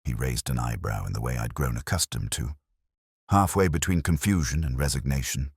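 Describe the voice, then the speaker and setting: A man narrating a first-person detective story in a measured, literary, brooding manner with a husky voice. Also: extremely low pitch, dry recording, clean studio sound.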